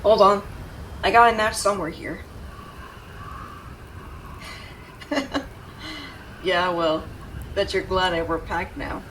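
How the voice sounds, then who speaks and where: A young woman voice actor playing a gruff but devoted tsundere rescuer in an intimate, emotional audio roleplay. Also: lowish voice, unhurried, fairly bright tone. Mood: urgent, caring. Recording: distant mic, clear background noise ambience.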